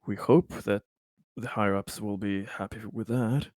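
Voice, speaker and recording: A man's voice: lowish voice, steady tone, normal speed, a little mellow, dry recording, studio quality. A man talking casually as a tabletop game player, feeling his way through sentences.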